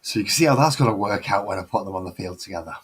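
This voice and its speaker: A man's voice: fairly low pitch, lively intonation, rapid-fire, a crowd in the background. A man with a Mancunian accent hosting a casual hobby chat, chatty and self-mocking with a grumbling streak. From northern England. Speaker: host.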